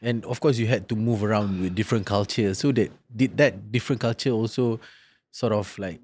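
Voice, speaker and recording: A man's voice: on the low side, fairly quick, a little mellow. A man hosting a podcast interview, chatty and friendly, filling his questions with "you know" and "like". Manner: friendly, casual, many fillers like "you know" and "like". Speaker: podcast host. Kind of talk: casual interview.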